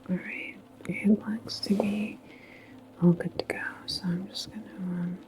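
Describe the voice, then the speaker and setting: A woman performing an ASMR roleplay as a station attendant, speaking in a breathy whisper with a gentle, reassuring, unhurried manner. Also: mid-range voice, little pitch movement, low-quality recording.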